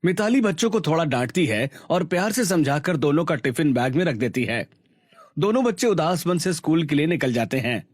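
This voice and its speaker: A man's voice: a little high, animated, fairly quick, no room sound. A man reading scripted narration for a story as its narrator.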